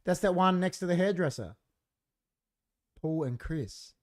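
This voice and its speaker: A man's voice: high-pitched, animated, unhurried, a little mellow. A middle-aged Australian man co-hosting a casual live podcast, chatty and given to repeating himself for emphasis. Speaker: podcast co-host.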